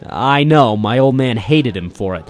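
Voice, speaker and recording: A man's voice: mid-range voice, animated, unhurried, close to an everyday voice. Man acting world-weary private detective, terse, blunt, informal, radio drama, music bed. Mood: weary, impatient. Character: weary, blunt, independent male private eye. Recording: music behind the voice.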